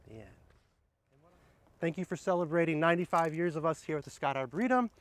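A middle-aged man speaking outdoors, sharing picture books in a gentle, explanatory way and addressing viewers directly. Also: on the high side, lively intonation, fast talker, dry recording. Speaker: horticulturist presenting children's books. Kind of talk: picture-book read-aloud and casual book recommendations. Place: outdoors under trees in an arboretum garden.

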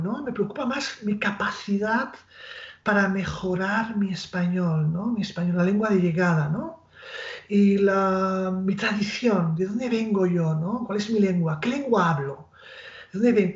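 A man in his forties with a Spanish accent, a literary translator answering interview questions over a video call, pausing and restarting often and adding tag questions. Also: high-pitched. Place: small room at home with bookshelf. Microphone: earphone mic on video call. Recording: roomy, dull lo-fi call audio.

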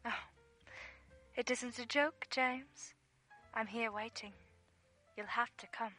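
A woman's voice: on the high side, steady tone, slow, sharp tone, soft background music, full character voice. An actress playing an eerie, insistent woman on a call in a radio play, plaintive and pressing. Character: eerie, insistent young woman calling from a station.

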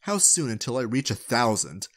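A man's voice: medium pitch, big pitch swings, normal speed, a bit bright. A man hosting his video channel, reading scripted narration to viewers in a playful, direct way. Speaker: video creator and channel host.

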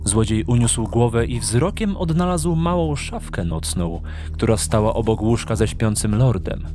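A man narrating a scripted audio drama with measured storytelling delivery. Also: on the low side, sing-song, over music.

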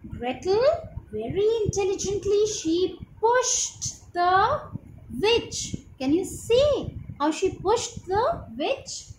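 High, animated Indian-accented woman telling children's stories. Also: takes it slowly. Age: young adult. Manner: expressive and didactic, often asking questions to child listeners. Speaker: storyteller for children. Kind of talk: children's moral storytelling. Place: small room at home, indoors. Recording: roomy echo with clear background noise.

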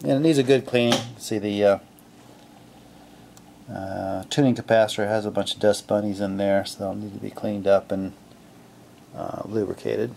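A man casually narrating his radio restoration at a home workbench, relaxed and full of fillers as he thinks aloud. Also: on the low side, normal speed, creaky, long pauses, a little hiss or hum. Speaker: hobbyist restoring a vintage radio.

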